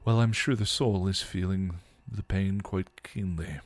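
A man's voice: low voice, steady tone, measured pace, no room sound. A man voicing a stuffy, dry-witted older Englishman with a prim, formal and wry way of speaking.

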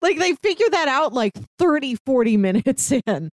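A woman's voice: high-pitched, sing-song, normal speed, no room sound, studio quality. A woman co-hosting a podcast, casually discussing film and recounting things in explanatory detail. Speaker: podcast co-host.

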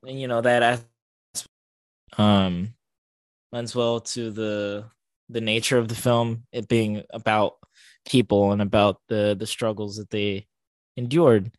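A man's voice: medium pitch, no echo. A man co-hosting a podcast in casual discussion, thinking aloud and often hesitating or correcting himself. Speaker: podcast co-host.

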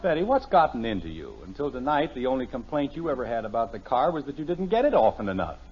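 An exasperated family man with a slightly husky, very animated voice. His dry, mock-formal sarcasm comes through a muffled old radio comedy recording. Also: medium pitch, fast. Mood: dry, impatient. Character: exasperated, sarcastic suburban father of three. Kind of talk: vintage radio sitcom acting.

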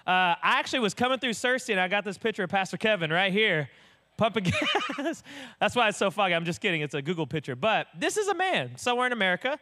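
A young man, an associate pastor with a booming voice, telling stories in a casual, animated sermon. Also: fast talker. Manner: animated storyteller, acts out voices.

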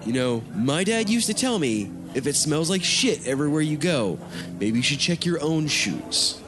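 A male actor plays a laid-back, folksy juice-bar server. His highish voice moves around freely over music, in a comic radio play. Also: very animated, moderate pace.

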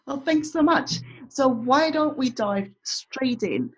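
Husky-voiced woman hosting a podcast. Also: high voice, animated, slow, dull audio. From not Australian, has lived in Australia. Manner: upbeat, laughs often. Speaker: podcast host and business coach. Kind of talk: casual interview chat.

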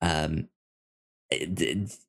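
A man chatting casually as a podcast co-host reviewing films, halting and often stammering over repeated words. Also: rumbling low, gravelly, a bit bright. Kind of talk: casual film review chat.

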